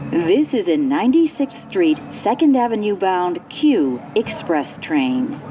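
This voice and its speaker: A woman reading scripted train stop announcements in a formal, measured way, with pieced-together phrasing. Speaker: transit announcer. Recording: muffled recording with rumbling train noise. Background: subway train ambience.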